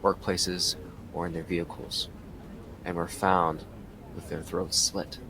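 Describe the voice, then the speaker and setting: A weary detective in an audio drama, with a very low voice and a gravelly edge. He speaks in a brooding, deliberate way, as if committing a case to tape. A faint rumble sits behind him. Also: little pitch movement, exaggerated voice. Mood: grave, puzzled. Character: weary, driven male police detective recording his case. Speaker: detective narrator. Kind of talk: audio drama narration and dialogue.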